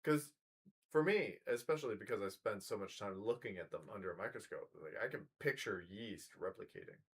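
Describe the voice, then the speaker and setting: A man in his thirties co-hosting a casual chat, dry and teasing in a low-key way. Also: expressive, brisk, husky. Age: 30s. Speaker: podcast co-host.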